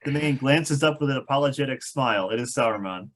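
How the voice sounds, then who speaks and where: A man's voice: medium pitch, fast talker. A man narrating a table read of a screenplay, reading stage directions with wry, amused asides.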